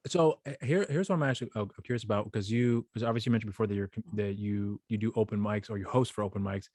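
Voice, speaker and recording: A man in his thirties hosts a casual interview. He asks long questions at a quick clip and often restarts them. His lowish voice comes through a dry, close studio mic in a home studio. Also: darkish tone, no echo. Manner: rambling, repeats himself in questions. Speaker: podcast host. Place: home studio with a shelf wall of tapes. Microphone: studio mic with headphones.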